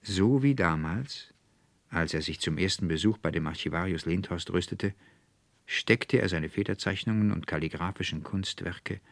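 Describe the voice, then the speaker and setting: A man with a standard German accent narrating a literary fairy tale in a measured, dramatic, precise manner. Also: low voice, gravelly, dry recording. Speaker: audiobook narrator.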